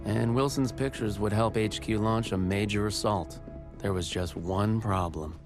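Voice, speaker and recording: A man's voice: fairly low pitch, unhurried. An actor playing a roguish American gambler pilot, narrating his own story in a wry, confiding way for a video game. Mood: storytelling. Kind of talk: scripted first-person game narration. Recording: loud music under voice-over.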